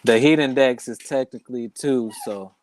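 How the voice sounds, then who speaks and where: A man's voice: medium pitch, normal speed, crowd noise. A man in his thirties, a guest fighter in a casual interview, easygoing and polite with lots of "yes, sir". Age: 30s.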